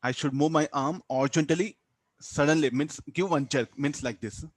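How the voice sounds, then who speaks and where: A man with an Indian accent teaching physics, explaining step by step in a halting way, repeating words and tagging on "huh" and "okay". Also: a little high, no echo. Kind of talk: classroom lesson, explaining a worked problem.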